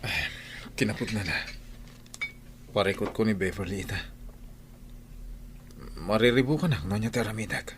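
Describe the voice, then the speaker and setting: A besotted but suspicious Ilocano husband, played by a male actor in an audio drama. He speaks in a lowish, earnest, conversational voice with faint noise behind it. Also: moderate pace, pauses often, lightly acted. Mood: weary, defensive. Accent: Filipino, Ilocano. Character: besotted, suspicious husband straying from his wife. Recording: some background noise.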